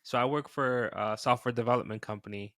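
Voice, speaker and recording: This is a relaxed New York man in his thirties whose accent leans Dominican. He chats casually and says "bro" a lot. His voice is close and dry, with no room sound, and sits at a middle pitch. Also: brisk, no echo. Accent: New York, Dominican-influenced. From New York City. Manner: laid-back, peppers speech with "bro". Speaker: podcast guest. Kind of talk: casual chat.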